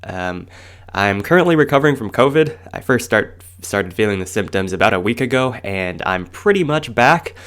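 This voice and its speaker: A man narrating a tabletop game as game master, speaking in a measured, low-key way while congested. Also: expressive, a bit bright, clear, resonant tone.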